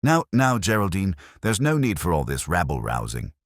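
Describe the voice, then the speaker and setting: A man's voice: deep voice, huge pitch swings, moderate pace, breathy edge, no room sound, studio quality, full character voice. A man voicing a flustered town alderman who is hesitant and tries to soothe. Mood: flustered, placating.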